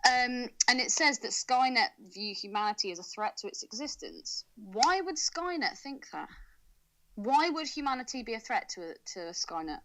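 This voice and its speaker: Casting: young British female podcast co-host, bright tone, informal and slangy, teasing in comic film chat. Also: a little high, animated, moderate pace, low-quality recording. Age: young adult.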